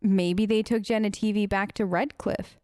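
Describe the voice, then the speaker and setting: A woman's voice: mid-range voice, fairly even intonation, normal speed, darkish tone, no echo, studio quality. A woman hosting a role-play video game let's play, chatty and skeptical, improvising casual replies in character. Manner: skeptical, chatty, playfully questioning. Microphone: close, dry microphone.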